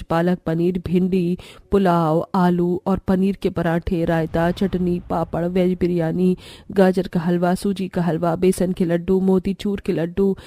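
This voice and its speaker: Here is a wealthy mother-in-law from a cartoon family story. She speaks in a mellow, slightly lowered voice, giving orders with plain authority. The sound is dry. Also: dry recording. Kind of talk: Hindi cartoon story voice acting.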